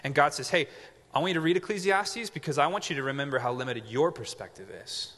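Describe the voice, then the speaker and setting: A man's voice: on the high side, quick pace, fairly bright tone. A man in his twenties preaching a sermon as pastor, conversational with joking asides and frequent check-ins with the audience. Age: 20s. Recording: reverberant hall.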